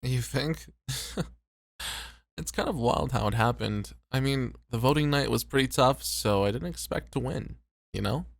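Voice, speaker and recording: A man playing a tired, popular host and teasing flirt in an ASMR roleplay, with relaxed banter. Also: mid-range voice, unhurried, vocal fry, no room sound, studio quality. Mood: tired, modest.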